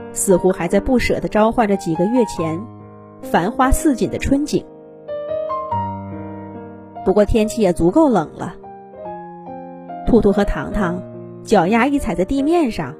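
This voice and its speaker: Woman telling kids a fairy tale, really lively pitch, soft piano behind. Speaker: children's storyteller. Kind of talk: expressive scripted fairy-tale narration.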